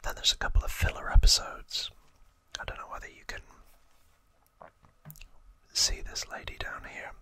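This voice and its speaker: An ASMR host whispering trivia in a breathy, unvoiced voice, explaining hesitantly with soft pauses. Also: no echo.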